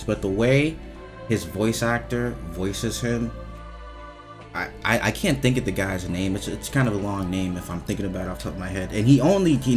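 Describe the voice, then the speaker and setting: A young man giving casual, rambling game commentary, hesitant and repetitive, with informal swearing. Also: low voice. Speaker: video game reviewer.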